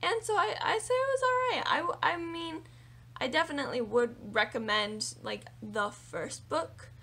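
A young woman book vlogger chatting casually and animatedly about her reading, her voice a little raspy from singing. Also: sits high, normal speed. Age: young adult. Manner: animated, chatty, lots of fillers and hand gestures. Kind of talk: casual monthly reading wrap-up. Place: small room with bookshelves indoors.

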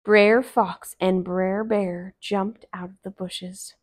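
A woman in her thirties narrating a children's story aloud in an expressive, deliberate way. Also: medium pitch. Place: small living room, on a couch.

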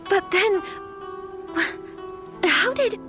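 A woman's voice: extremely high pitch, animated, very slow, heavily acted. An actress playing a polite, anxious young noblewoman in a video game, with a hesitant, apologetic and formal manner. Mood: confused, hesitant. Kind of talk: scripted video game voice acting. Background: background music.

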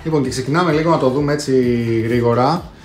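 A man, presenting casually as a video host, chatty and slangy with bits of English collector jargon. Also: fairly high pitch. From Greece. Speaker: host of a retro console collecting channel. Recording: echoey room, distant mic, music behind.

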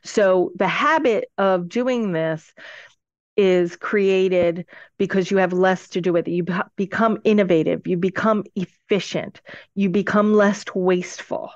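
A woman's voice: medium pitch, fairly even intonation, unhurried, no echo. A woman speaking as a podcast guest and business adviser, explaining conversationally and emphatically, step by step. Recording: dull lo-fi audio.

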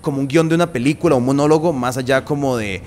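Animated young Costa Rican host. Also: male voice, medium pitch, slight background noise. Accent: Costa Rican Spanish. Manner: animated, slangy, quick bursts. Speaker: podcast host. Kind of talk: casual interview conversation. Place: shaded outdoor veranda. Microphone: studio mic on boom arm.

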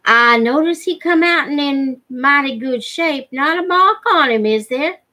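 Lady reading as big pushy backwoods guy in a storybook, blunt, suspicious, kinda slow talking. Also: sits high, animated, sharp tone, voice pushed up, heavily acted. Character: huge hairy backwoods man, pushy, suspicious trader.

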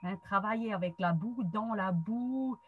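A woman in her forties with a Swiss German accent, a non-native speaker, giving a friendly online lecture from a wood-panelled room over a webcam, pausing often to find her words. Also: mid-range voice, narrow, tinny audio, soft background music. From German-speaking Switzerland. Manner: friendly, explanatory, frequent hesitations. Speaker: guest speaker, psychologist and trainer. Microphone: webcam video call.

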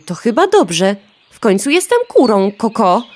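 A woman's voice: mid-range voice, big pitch swings, measured pace, a little mellow. A woman playing a vain, cheeky young hen in a children's radio play, flippant and breezily self-absorbed. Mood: self-satisfied. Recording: faint birdsong and noise behind. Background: birdsong.

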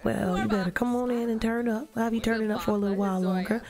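A young woman livestreaming a life-sim game, chatting casually with her viewers in a lively, joking, profane way on a headset mic. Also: fairly high pitch, quick pace. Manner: lively, joking, talks back to chat, profane slang. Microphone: headset mic at desk. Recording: game music playing behind.

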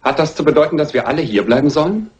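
Man acting an insistent gentleman suspect, animated intonation, art dealer, muffled old crime film dub. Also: a little high, fast, close to an everyday voice. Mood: protesting. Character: insistent, smooth-mannered gentleman art dealer under suspicion. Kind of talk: dubbed classic crime film dialogue.

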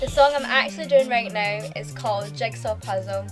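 A woman's voice: a little high, moderate pace, music in the background. Upbeat young Scottish woman. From Scotland. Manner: earnest, upbeat, gushing. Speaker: aspiring singer. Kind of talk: promotional profile talk to camera. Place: studio and city streets.

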